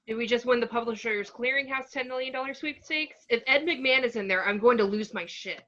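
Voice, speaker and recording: A woman's voice: a little high, a little fast, sharp tone. A woman playing a wisecracking, mouthy young boy in an amateur screenplay read. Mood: sarcastic. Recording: dull lo-fi mic.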